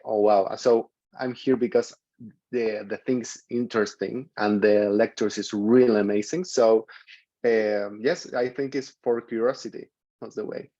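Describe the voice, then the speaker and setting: A man introduces himself with eager enthusiasm, sometimes groping for the right English word. His voice is low and dark. The call audio sounds dull and lo-fi. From Chile. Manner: enthusiastic, searching for words. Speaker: seminar participant, writer.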